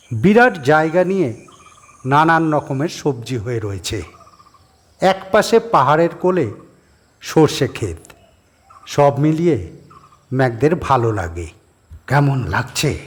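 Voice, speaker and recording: A man narrating a horror audio story in a calm, unhurried way. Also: on the high side.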